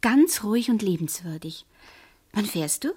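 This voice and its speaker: Radio drama: nervous middle-aged widow in love, husky breathy tone, medium pitch, eager yet hesitant. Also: female voice, expressive, moderate pace, a little mellow. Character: lovestruck, nervous middle-aged widow confiding in her friend. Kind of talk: naturalistic radio drama acting.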